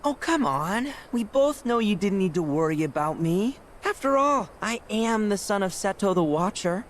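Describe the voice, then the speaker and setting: A man's voice: high voice, animated, normal speed, slight background noise. A man playing a proud, earnest young talking beast in a video game, with an eager, youthful manner. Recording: game music behind.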